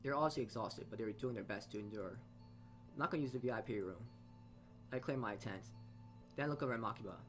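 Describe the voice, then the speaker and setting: A man's voice: fairly low pitch, quick pace, long pauses, faint music behind. A man reading a game story aloud as he plays, narrating with side comments and often stumbling and repeating phrases. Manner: stumbles over words and rereads phrases. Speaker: let's player reading a visual novel aloud.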